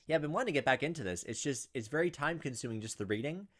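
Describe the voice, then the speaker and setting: A man's voice: medium pitch, expressive, very fast, fairly bright tone. A man hosting a let's-play, chatting casually and thinking aloud with frequent ums and likes. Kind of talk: casual gameplay commentary.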